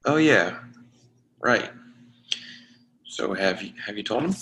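A man acting as a reluctant, exasperated lovesick friend in a play reading, halting and flustered with deadpan retorts. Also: lowish voice, noisy background. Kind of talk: readers-theatre comedy-drama acting.

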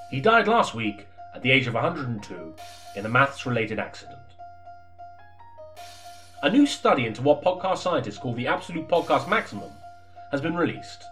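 Man playing a deadpan, animated newsreader. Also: mid-range voice, close to an everyday voice. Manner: deadpan, formal. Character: solemn, deadpan male comedy newsreader. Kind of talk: mock news bulletin.

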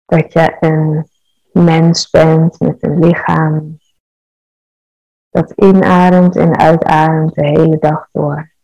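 A woman leading a guided meditation in a calm, measured, soothing manner. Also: deep voice, vocal fry, dry recording, muffled recording.

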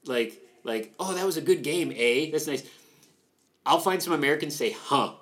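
Canadian guy co-hosting a podcast, chatty and animated, kinda nerdy. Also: fairly high pitch, normal speed. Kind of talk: casual chat.

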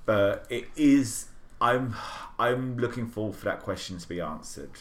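A man's voice: on the low side, expressive, a little slow. A British man co-hosting a podcast, talking in a chatty, rambling, jokey way. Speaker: podcast co-host. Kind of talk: casual chat.

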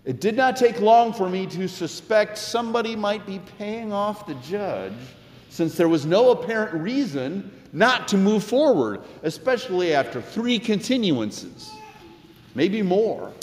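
A man's voice: high-pitched, sing-song, a little slow. Older american guy preaching, chatty reflective pastor telling stories, big echoey church. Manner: conversational, reflective. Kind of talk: sermon with personal anecdotes. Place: large indoor church. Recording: echoey hall with long reverb.

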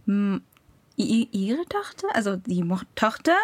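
Podcast co-host: young woman, unhurried and word-searching, giggly, recorded very dry. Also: medium pitch, big pitch swings, darkish tone, no echo. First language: Japanese. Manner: halting, searching for words, giggly. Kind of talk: casual personal storytelling.

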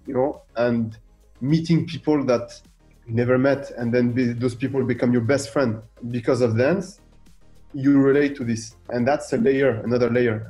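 Animated French-accented man with a mellow voice. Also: medium pitch. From France. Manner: animated, fires rhetorical questions, acts out quoted voices. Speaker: guest artist. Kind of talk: radio interview.

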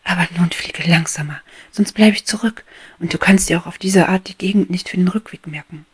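A patient, friendly worker bee woman guiding a youngster along, as a woman reads her part. Her storybook voice is low, soft and breathy, close to a whisper. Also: brisk. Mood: instructive. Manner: friendly, gently instructive. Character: kindly grown female honey-carrier bee, patient.

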